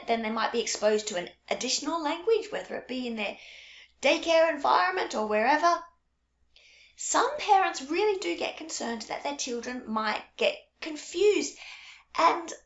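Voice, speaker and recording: A middle-aged woman with a high voice talks to camera as a teacher of small children. She tells her stories in a warm, reassuring way. The sound is roomy and a little muffled. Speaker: early childhood French teacher. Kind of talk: casual direct-to-camera talk. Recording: echoey room, dull lo-fi sound.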